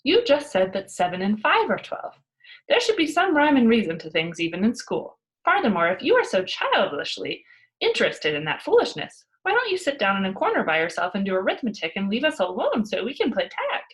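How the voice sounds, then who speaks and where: A woman voicing a cheeky, cocksure young girl from a children's storybook, loud, emphatic and argumentative. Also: medium pitch, wildly swooping pitch, fairly quick, poor audio. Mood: scolding, cocky. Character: cheeky, boisterous young girl, cocksure tall-tale teller. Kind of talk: children's storybook read-aloud.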